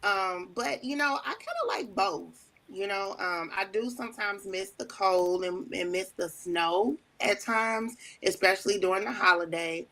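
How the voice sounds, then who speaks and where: A woman from Chicago, a guest on a talk show, telling her story in a relaxed way with lots of "um"s. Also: mid-range voice, measured pace, hardly any pauses. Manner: warm storytelling with many fillers. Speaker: wedding planner and ordained elder, guest. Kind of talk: casual interview talk.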